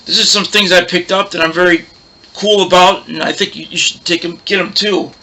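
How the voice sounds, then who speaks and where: A man with a big, loud, roaring voice hosting a casual record collection show, chatting in a rambling way and losing his train of thought. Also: sits high, steady tone, fairly quick, rough recording. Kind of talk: casual show-and-tell chat.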